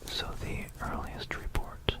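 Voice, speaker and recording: An ASMR host whispering an educational history talk in an unhurried, explanatory manner, close to a stereo microphone. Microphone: close stereo binaural microphone.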